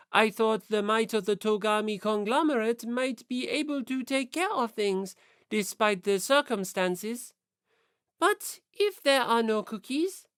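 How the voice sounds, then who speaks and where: Woman as haughty young lady, aristocratic airs, pompous, dismissive, animated pitch, audiobook character, dry recording. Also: clear, resonant tone, no room sound.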